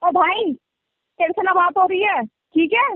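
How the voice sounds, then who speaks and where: A woman's voice: very high voice, takes it slowly, no room sound. A woman in a heated phone argument, foul-mouthed, threatening and scolding, heard over a narrow-band call recording.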